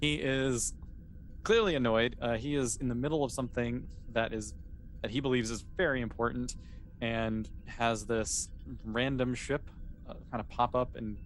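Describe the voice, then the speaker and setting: A man's voice: medium pitch, steady tone, moderate pace. Man game-mastering casually over background noise. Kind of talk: casual improvised narration of a tabletop role-playing game.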